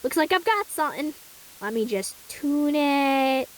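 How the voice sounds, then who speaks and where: A woman voicing a quirky male radio hobbyist living off the grid, talking casually and dropping to a hush for secrets. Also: high-pitched, lively intonation, measured pace, low-quality recording. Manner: casual, hushed when sharing secrets.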